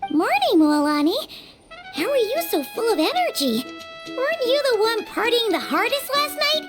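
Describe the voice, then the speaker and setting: A woman voicing a tiny, cheeky, childlike fairy companion in a video game, with a squeaky, shrill voice and an exclamatory manner. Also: sing-song, moderate pace, loud music behind the voice. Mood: cheeky, questioning. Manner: speaks of herself in the third person, exclamatory. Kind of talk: English video game voice acting.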